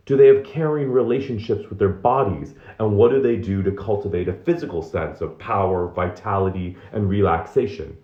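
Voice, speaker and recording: Low-voiced man reading aloud in echoey room. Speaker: reader of a book excerpt. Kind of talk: read-aloud nonfiction narration. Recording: dull, roomy echoing home recording.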